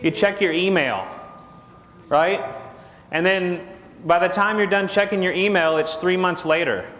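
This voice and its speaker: Texan guy joking with a crowd, kinda high voice, echoey big hall. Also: measured pace, fairly bright tone. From Texas. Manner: folksy, jokey, asks the crowd questions. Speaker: conference speaker. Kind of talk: joking seminar talk to an audience. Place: large conference hall stage. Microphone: lapel mic. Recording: echoey hall with long reverb.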